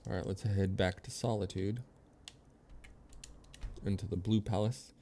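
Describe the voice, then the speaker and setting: A man's voice: sits low, steady tone, unhurried, mellow, a little hiss or hum. A man streaming a video game, chatting casually with viewers and commenting as he plays in an easygoing way. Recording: game music behind the voice. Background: video game music.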